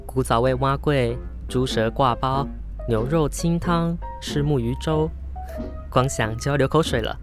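A woman's voice: rumbling low, measured pace, music in the background. A woman with a Taiwanese Mandarin accent playing a flustered, self-mocking young woman in an audio drama, hesitant and polite aloud, blunt when muttering to herself. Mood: cheerful. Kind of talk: audio drama acting with spoken inner monologue.

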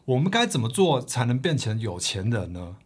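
A man's voice: mid-range voice, big pitch swings, normal speed, husky. A man playing an earnest, naive poor young man of ancient Babylon in a radio drama. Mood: earnest, curious. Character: Bansir, earnest poor young Babylonian weapon-shop heir. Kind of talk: radio drama dialogue adapted from a comic.

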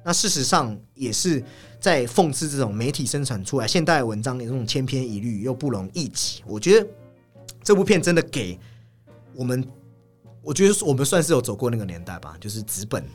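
A man co-hosting a podcast, casually discussing film in an analytical way and often repeating his agreement in quick strings. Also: mid-range voice, big pitch swings. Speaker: podcast co-host. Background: background music.

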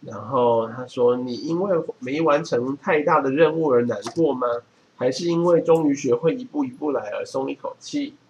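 Measured Taiwanese man reading aloud, mid-pitched. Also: a little mellow, lo-fi sound, slight background noise. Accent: Taiwanese Mandarin. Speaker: podcast co-host. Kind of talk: reading aloud from a book with casual comments.

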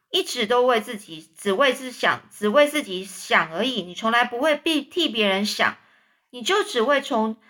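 A woman's voice: sits high, pushed and bright. A woman reading a storybook voices an exasperated aunt who scolds her nephew indignantly.

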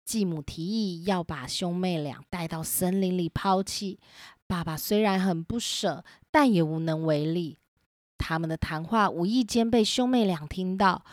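A woman's voice: fairly low pitch, no room sound, studio quality. A woman host narrating a scripted children's story.

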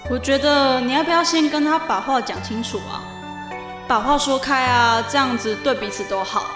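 A woman's voice: sits high, normal speed, lightly acted. A young woman playing a teasing, caring teenage schoolgirl in an audio drama, speaking Taiwanese-accented Mandarin. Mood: concerned, soothing. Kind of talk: amateur audio drama acting. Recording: roomy echo under music. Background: music.

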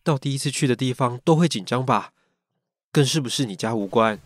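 A man's voice: medium pitch, fairly quick, no echo, natural voice. Man acting an aloof Taiwanese schoolboy, dry close sound. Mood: curt, guarded. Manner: curt, reserved, easily flustered. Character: aloof, sharp-tongued, shy teenage schoolboy. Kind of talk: Mandarin boys' love radio drama acting.

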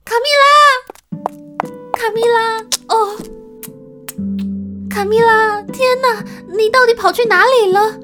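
A woman's voice: very high-pitched, steady tone, slow, long pauses. A woman playing an anxious, devoted young girl who tells her own gothic tale in a radio drama. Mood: frantic, emotional. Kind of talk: Mandarin radio drama acting and narration. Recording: music under the voice.

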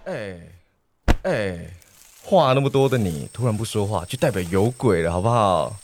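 Teasing Taiwanese schoolboy, swooping pitch played by a man. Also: expressive, moderate pace, background noise, natural voice. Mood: casual prodding. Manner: slangy, sighing, exclaiming, mocking. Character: teasing male high school student, blunt best friend. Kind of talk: Mandarin radio play acting.

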